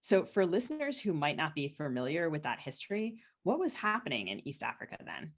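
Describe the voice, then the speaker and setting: Woman podcast host, low-pitched voice. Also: sing-song, brisk. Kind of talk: conversational literary interview.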